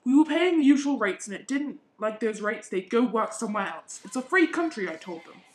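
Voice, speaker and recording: Pompous, dismissive businessman father for amateur radio play, slightly high voice played by a woman. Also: animated, brisk, lightly acted. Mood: self-justifying. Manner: pompous, indignant. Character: pompous middle-aged businessman father, dismissive and self-satisfied.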